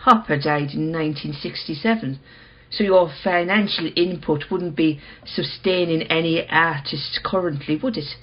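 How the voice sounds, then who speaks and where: An actress playing a cool, probing woman who will not budge, measured and blunt, in a radio play. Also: low voice, dull audio, close to an everyday voice. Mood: challenging. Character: cool, probing, unyielding female owner of rare mirror.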